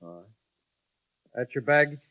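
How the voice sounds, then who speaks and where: A man's voice: on the high side, animated, moderate pace, no echo, close to an everyday voice. A man playing a menacing frontier deputy. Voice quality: curt and threatening. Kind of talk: 1950s radio drama acting. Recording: dull lo-fi 1950s radio recording.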